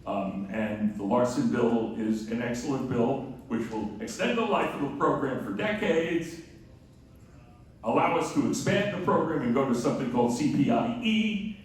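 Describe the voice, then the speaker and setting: A man's voice: mid-range voice, lively intonation, normal speed. Echoey, projecting man addressing a town hall. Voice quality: loud, projecting voice. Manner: emphatic, rhetorical questions answered himself. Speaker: guest speaker from an advocacy group. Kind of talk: public speech at a town hall. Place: large echoey room at a public meeting. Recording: echoey hall, distant mic, faint noise.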